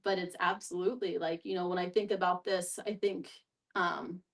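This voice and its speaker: A woman's voice: lowish voice, level tone, moderate pace. A woman speaking as a therapist leading a group discussion, thoughtful and a little hesitant, often checking in with her listeners. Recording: group in room, distant mic.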